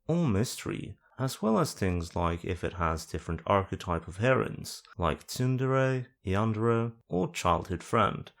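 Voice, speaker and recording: A man calmly explaining a website's search features as a voice-over for a screen-recorded tutorial, listing the options one by one. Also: lowish voice. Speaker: video guide host. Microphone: voice-over over screen capture.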